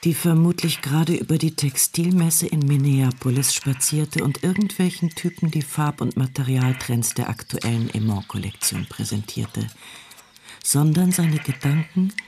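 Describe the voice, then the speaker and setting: A man's voice: a little high, a little slow, gravelly, darkish tone, slight background noise. A man narrating a scripted radio drama as its storyteller.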